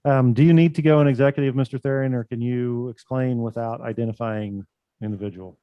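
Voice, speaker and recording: A man leading a school board meeting in a brisk, lightly joking manner. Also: medium pitch, steady tone, clean tone, dry recording, muffled recording.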